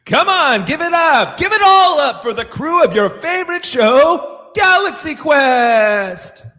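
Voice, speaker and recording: A man playing a bombastic convention announcer who hypes the crowd with drawn-out showman shouts in a radio-theatre read. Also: extremely high pitch, sing-song, voice pushed up, full character voice. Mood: hyped, rousing. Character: bombastic male convention announcer, hyping the crowd with big fanfare.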